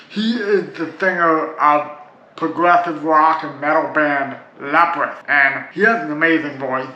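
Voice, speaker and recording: Canadian dude rambling about albums, bright slow talk, dull echoey lo-fi room sound. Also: male voice, a little high. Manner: rambling, chatty, restarts with "like" and "uh". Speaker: music reviewer. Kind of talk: casual video review.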